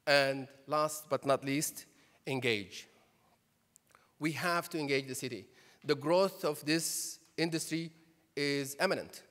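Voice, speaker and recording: A man's voice: fairly high pitch, takes it slowly, a bit bright. A man giving a conference speech, emphatic and full of rhetorical questions. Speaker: conference speaker and chairman. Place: conference hall. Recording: reverberant hall, PA.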